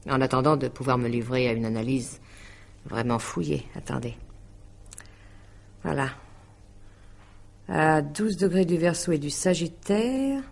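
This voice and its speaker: An actress playing a courteous, hesitant woman who reads horoscopes, measured and polite, in a 1950s-style French radio drama. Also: deep voice, vocal fry, darkish tone, exaggerated voice. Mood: studious. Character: courteous, hesitant woman translator and amateur astrologer.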